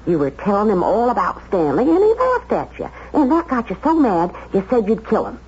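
A woman's voice: mid-range voice, huge pitch swings, a little fast, a little mellow, husky. Brassy, swooping streetwise cleaning woman. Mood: urgent, needling. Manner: slangy, blunt, wheedling know-it-all. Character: brassy, streetwise, meddling cleaning woman, woman married to an older man. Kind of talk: 1970s radio drama acting. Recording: dull vintage radio recording, faint noise.

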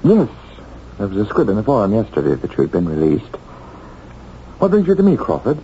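An actor playing a stuffy, condescending banker, halting and pompous, in a 1970s radio drama. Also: male voice, on the low side, wildly swooping pitch, mellow. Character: stuffy, condescending banker, uneasy and disapproving man. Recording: dull, noisy 1970s radio recording.

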